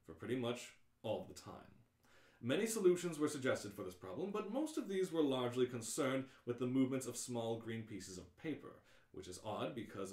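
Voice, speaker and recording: A man's voice: mid-range voice, roomy sound. A man hosting a live script read-through, narrating in a playful, theatrical way. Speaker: host and narrator.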